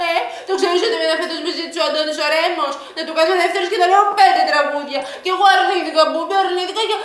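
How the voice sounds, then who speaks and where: A woman's voice: very high-pitched, steady tone, fairly bright tone, heavy reverb, far from the mic. A woman playing a whiny, theatrical wife with a Cypriot Greek accent who dreams of a singing career, in a comedy sketch. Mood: sulky. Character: whiny Cypriot wife, aspiring nightclub singer.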